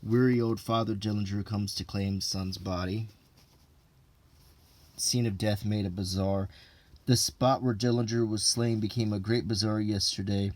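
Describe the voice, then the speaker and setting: A man reading an old printed text aloud in an ASMR style, unhurried and low-key, with casual offhand asides. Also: a little mellow.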